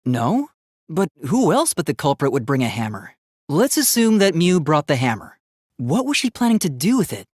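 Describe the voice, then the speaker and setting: Polite young robot student for a video game, very formal and logical, pitch goes up and down a lot, super dry close recording. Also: male voice, sing-song, moderate pace, darkish tone, no room sound. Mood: calm, pondering. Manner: formal, precise, stiffly logical wording. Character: earnest, polite young male robot student, easily flustered.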